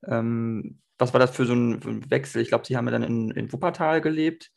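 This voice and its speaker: A man's voice: medium pitch, fairly even intonation, a little fast, vocal fry. A man interviewing a guest, asking long questions full of hesitations. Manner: hesitant, many fillers.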